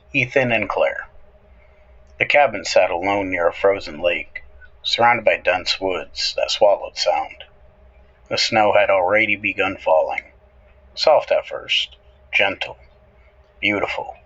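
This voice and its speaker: Low-pitched, slow male horror narrator. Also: sharp tone, slightly rough, dull audio. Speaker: horror show host and narrator. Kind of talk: scripted horror story narration.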